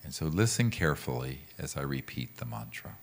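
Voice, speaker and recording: A man leading a guided meditation as a teacher, speaking in a calm, gentle, measured way with pauses. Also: a bass voice. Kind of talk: guided meditation with mantra instruction.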